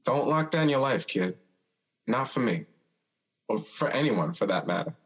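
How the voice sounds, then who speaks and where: A gentle, philosophical young man in an audio drama. He speaks slowly in a medium-pitched voice, wistful and musing like an older brother. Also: big pitch swings, breathy edge, full character voice. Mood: gentle, resigned. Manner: measured, musing.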